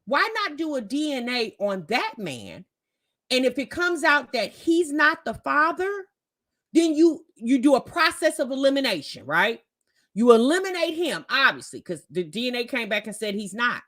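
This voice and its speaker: A woman's voice: high voice, sing-song, moderate pace, a bit bright. A woman giving casual, opinionated commentary as a reaction host, talking emphatically and colloquially with frequent rhetorical questions.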